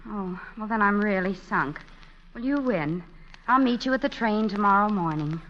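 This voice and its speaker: An actress playing an exasperated wife with dry wit and wry comebacks in a radio romantic comedy. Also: female voice, medium pitch, expressive, moderate pace, natural voice. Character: exasperated, dryly witty wife seeking a divorce. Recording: dull, muffled vintage radio recording.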